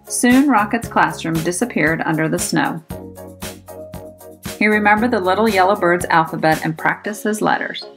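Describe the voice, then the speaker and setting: A woman narrates a children's storybook in a slightly low voice with a noticeable creak. She is expressive and pronounces words carefully for young listeners. Music plays underneath. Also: normal speed. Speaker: storybook reader.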